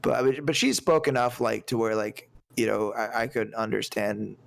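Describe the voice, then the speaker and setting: A man in his twenties chats loosely on a movie podcast, circling back and restarting his sentences. His voice sits mid-pitch with a gravelly, creaky edge. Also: fairly even intonation, moderate pace. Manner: rambling, many restarts and fillers. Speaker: podcast co-host. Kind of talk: casual movie podcast chat.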